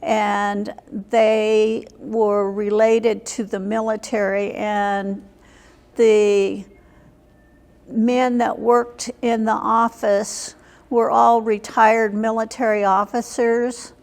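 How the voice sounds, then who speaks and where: An older woman, in her seventies or beyond, recalling her life as an interview guest in a plain, halting way. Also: on the high side, little pitch movement, a little mellow. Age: 70s or older. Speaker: interview guest, military veteran. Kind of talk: reminiscing in an unscripted interview. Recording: long hall reverb.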